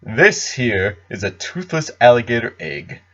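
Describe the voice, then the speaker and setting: A man's voice: medium pitch, very animated, a little slow, sharp tone, lo-fi sound, full character voice. A man voicing an eager, folksy male pony who sells magical pets with courteous showman's patter. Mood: inviting.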